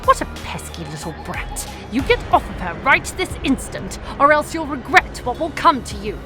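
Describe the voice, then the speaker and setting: A woman's voice: high voice, very animated, moderate pace, music in the background. A woman playing a smug, merciless female villain in an audio drama, pronouncing things in a haughty, taunting way. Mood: cold gloating.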